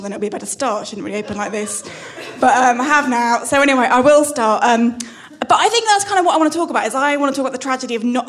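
A woman doing a live stand-up set, rambling in self-deprecating, nervous asides. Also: high voice. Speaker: stand-up comedian. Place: comedy venue stage.

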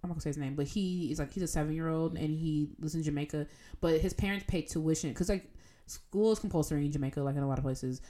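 A young adult woman chatting casually as a student co-host, telling stories in an animated, informal way with quoted asides. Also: deep voice, quick pace. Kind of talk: casual chat.

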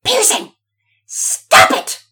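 A woman voicing a whiny, furious little girl who shouts and screeches, half crying, in a children's story. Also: extremely slow.

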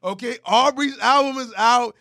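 A man's voice: very high voice, normal speed, creaky, sharp tone. A man bantering as a podcast host in a casual, combative, profane and emphatic way, often repeating himself. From Haitian background.

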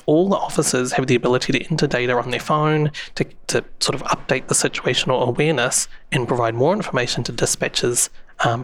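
A man's voice: mid-range voice, a bit bright, slight background noise. A man with a New Zealand accent, answering interview questions in an explanatory way, with many fillers and restarts. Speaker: interview guest, public safety software expert.

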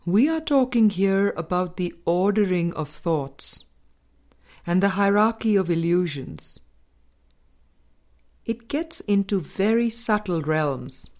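A woman reading a spiritual book aloud as a scripted audiobook, in a measured, deliberate manner with pauses between phrases. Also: medium pitch, animated, darkish tone. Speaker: audiobook reader.

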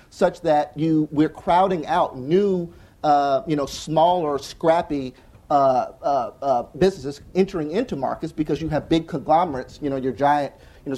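A young adult man on a conference panel, talking earnestly and fast with frequent restarts and "right?" tags. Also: a little high, warm tone, husky. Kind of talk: panel discussion answer. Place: conference hall with audience.

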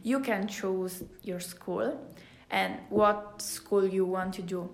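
A woman's voice: mid-range voice, steady tone, slow, gravelly. A teenage female student from Italy with an Italian accent, a native Italian speaker chatting in an interview and searching for words in English. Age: teenager, high school student. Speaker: podcast guest, exchange student. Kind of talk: casual interview chat. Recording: roomy echo on the voice.

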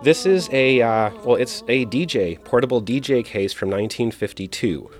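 A man's voice: medium pitch, expressive, normal speed, soft background music. Man demonstrating gear, records playing behind. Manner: explanatory, conversational. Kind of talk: casual hands-on demonstration. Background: old records playing.

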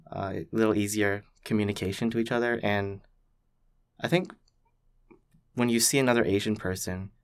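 A young man from Canada, thoughtfully telling his own story as a podcast guest, with many pauses and "like" fillers. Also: fairly low pitch, smooth, no echo, clean studio sound. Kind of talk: reflective personal storytelling.

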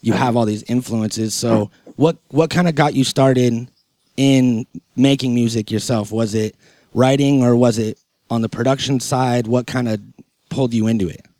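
A man's voice: mid-range voice, fairly even intonation, normal speed, mellow, no echo, studio quality. A man hosting a podcast, chatting in a rambling, slangy and enthusiastic way. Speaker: podcast host. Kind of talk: casual podcast interview chat.

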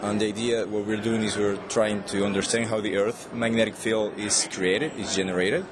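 A man's voice: fairly low pitch, steady tone, normal speed, noisy background. A young man from Venezuela with a Venezuelan Spanish accent, a PhD student explaining his research in an interview, hesitant with many restarts and fillers.

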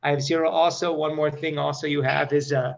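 A man in his forties with a fairly high voice hosts a comedic interview show. He jokes at his own expense as he banters. The recording sounds a bit dull and muffled. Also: little pitch movement, brisk, vocal fry. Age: 40s. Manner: self-deprecating, joking. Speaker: podcast host.

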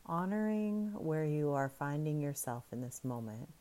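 A woman guiding a breathing meditation in soft, soothing, unhurried instructions. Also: sits low, lively intonation. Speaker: meditation guide.

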